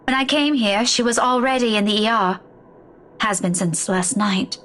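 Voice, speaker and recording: An actress in a story game playing a weary, distraught woman who speaks haltingly, with sighs. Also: a little high, a bit bright, lightly acted. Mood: grave, weary. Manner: halting, sighing, faltering speech. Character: weary, distraught woman keeping hospital vigil over loved one. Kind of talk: dramatic game dialogue.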